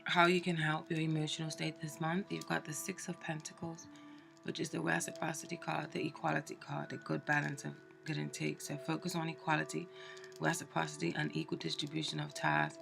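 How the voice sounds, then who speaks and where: A woman's voice: low voice, level tone, brisk, gravelly, music in the background. A woman with a cold, sounding stuffed up, giving a chatty tarot reading with plenty of fillers and restarts. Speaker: tarot reader. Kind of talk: casual tarot card reading.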